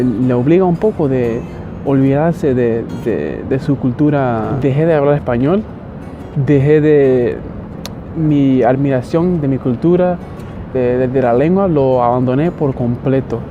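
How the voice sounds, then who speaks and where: A young man from Miami, vlogging casually outdoors and talking with a hesitant, halting manner full of restarts. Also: fairly high pitch. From Miami, United States. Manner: hesitant, many restarts and fillers. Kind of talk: casual talk to camera. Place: outdoors in a city. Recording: dull lo-fi audio with loud background music.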